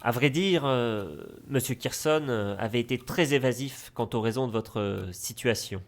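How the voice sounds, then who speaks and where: A man's voice: medium pitch, normal speed, close to an everyday voice. A man playing a polite, evasive notary with a formal, hesitant, apologetic manner in a French audio drama. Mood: hesitant, uneasy.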